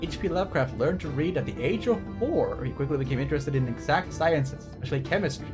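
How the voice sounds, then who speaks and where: A man's voice: fairly high pitch, animated, fast talker, over music. A man livestreaming a game, reading text aloud and drifting into rambling, enthusiastic asides. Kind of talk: game stream commentary, reading on-screen text aloud and chatting.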